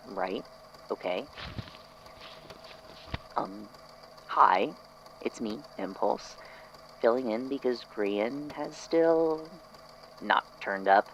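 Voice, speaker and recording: A man's voice: mid-range voice, expressive, very slow, long pauses, a bit bright, dull audio, slight background noise, exaggerated voice. A man playing a casual, reluctant archivist in an audio drama, reading a witness statement aloud in an informal, hesitant way. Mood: awkward, reluctant. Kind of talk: audio drama podfic, conversational first-person storytelling.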